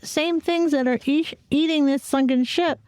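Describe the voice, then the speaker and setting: A woman's voice: sits high, fairly even intonation, moderate pace, darkish tone, no room sound. A woman co-hosting a podcast, talking in a casual, conversational way. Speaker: podcast co-host. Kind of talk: casual chat.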